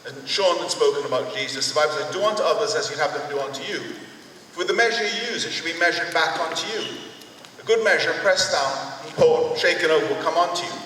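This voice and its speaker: A man's voice: a little high, sharp tone, slight background noise. A man speaking up from the audience at a town hall, earnest and rambling, with a preachy turn. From Jamaica. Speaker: audience member. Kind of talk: public comment at a town hall. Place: large sports hall. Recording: echoey hall, heard from far off.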